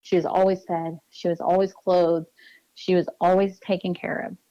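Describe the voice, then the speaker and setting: Lowish-voiced woman, dry close sound. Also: flat delivery, normal speed, dark tone, smooth, no room sound, highs cut off. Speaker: interview guest. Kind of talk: personal account in a podcast interview.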